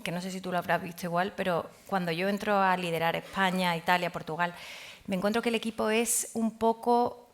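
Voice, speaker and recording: Woman panel guest, lower-pitched, animated storyteller who voices both sides of conversations. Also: fairly quick. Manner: animated storytelling, acting out dialogue. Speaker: panel guest, business manager.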